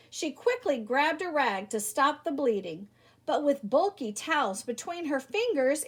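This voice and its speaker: A woman reading a children's picture book aloud like a teacher, animated, with theatrical emphasis. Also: high-pitched. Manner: animated, theatrical emphasis with exclamations and rhetorical questions. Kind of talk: expressive children's story read-aloud.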